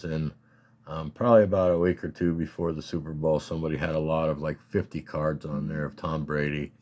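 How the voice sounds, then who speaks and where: A man with a slightly scratchy voice, casually and haltingly talking through his collection as a hobbyist host. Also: sits low. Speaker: collector hosting a card showcase. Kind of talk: casual rambling show-and-tell talk.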